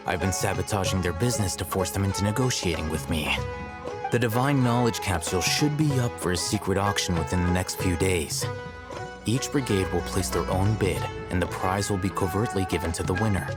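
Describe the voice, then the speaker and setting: Man acting low-voiced, coolly analytical young scholar. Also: close to an everyday voice. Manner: measured, formal, detached, matter-of-fact. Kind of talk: video game story dialogue. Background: game background music.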